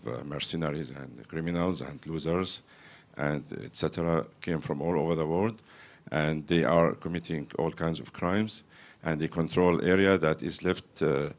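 A reporter from Syria puts a pointed, argumentative question to the panel. His voice is extremely deep. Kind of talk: press conference question.

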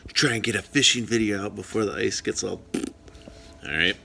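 A man in his forties filming himself ice fishing outdoors with a handheld phone, chatting in an excitable, self-deprecating way. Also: fairly low pitch, moderate pace, creaky, husky. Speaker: fishing vlogger. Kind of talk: casual vlog narration. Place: outdoors on a frozen pond. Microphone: phone camera at arm's length.

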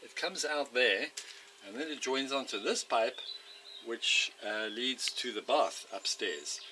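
A man's voice: mid-range voice, slow, background noise. A man walking through a home plumbing repair in an outdoor workshop, explaining step by step with frequent ums and uhs. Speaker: DIY video maker. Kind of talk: hands-on home repair walkthrough. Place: outdoor workshop area by a house.

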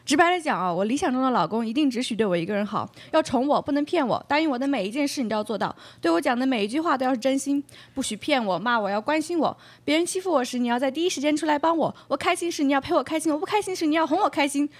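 A woman's voice: high-pitched, expressive, rapid-fire, few pauses. A young woman playing a demanding, coquettish bachelorette in a radio play, insistently listing what she wants. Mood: coy, demanding. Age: young adult. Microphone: stage microphone on stand.

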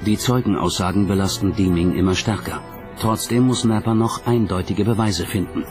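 Low-voiced male documentary narrator over music. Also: moderate pace. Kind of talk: scripted narration.

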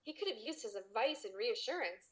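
A woman's voice: on the high side, lively intonation, fairly quick, noisy background. A woman narrating a story expressively as a podcast host.

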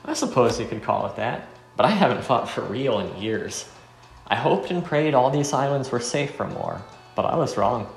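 A man's voice: medium pitch, big pitch swings, normal speed, creaky. A man voicing a bold wandering fighter in a visual novel game, stoic, earnest and defiant. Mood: resolute, calm. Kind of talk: amateur visual novel voice acting. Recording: roomy with faint music.

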